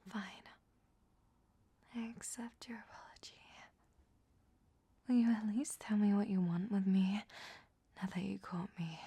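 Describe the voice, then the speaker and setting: Casting: female performer for a sly, vain vampire temptress in audio roleplay; husky whispered voice, unhurried, coy and flirtatious. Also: medium pitch, dark tone. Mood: reluctant, huffy. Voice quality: breathy, whispery. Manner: slow, teasing, coy.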